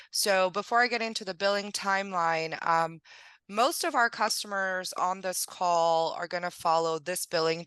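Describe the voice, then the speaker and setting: A woman walks listeners through a billing process on a video call, friendly and explanatory with a scattering of ums. She is getting over a cold and sounds slightly gravelly. Her tone is bright and crisp, and the sound is close and dry. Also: fairly low pitch, no echo. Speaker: billing presenter for a solar company. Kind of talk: webinar presentation.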